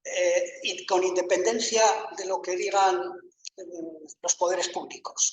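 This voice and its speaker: A man's voice: high voice, a little hiss or hum. An older man, a university professor, answering a question on a panel in a thoughtful, hesitant way with many fillers and restarts. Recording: echoey room, mic at a distance.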